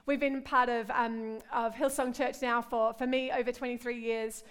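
A woman's voice: a little high, little pitch movement, moderate pace, clean tone. A middle-aged woman from Australia with an Australian accent, preaching and praying at a women's gathering in an earnest, heartfelt way. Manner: warm, earnest, repeats "God" and "Lord" in prayer. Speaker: pastor. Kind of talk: women's conference sermon and prayer.

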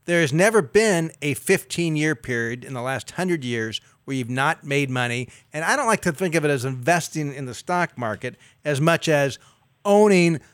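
Measured fifty-something male co-host, dry studio. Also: on the high side, fairly bright tone, dry recording. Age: 50s. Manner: measured, explains with figures and asides. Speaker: radio show co-host. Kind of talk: call-in advice talk.

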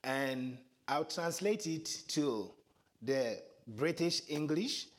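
Twi-speaking guy preaching, voice jumps around a lot, kinda high, gets loud and emphatic. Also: animated, takes it slowly. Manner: earnest, builds to emphatic high points. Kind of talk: sermon.

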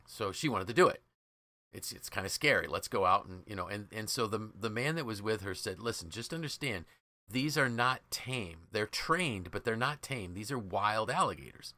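A man's voice: on the low side, expressive, moderate pace, pushed and bright, dry recording. A man hosting a podcast, explaining ideas from a book in a conversational, emphatic way. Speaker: podcast host.

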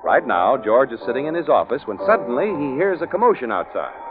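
A man's voice: medium pitch, big pitch swings, brisk. A man announcing and narrating a 1940s radio show with a polished, scripted sales delivery. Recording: tinny 1940s radio recording. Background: loud brassy music.

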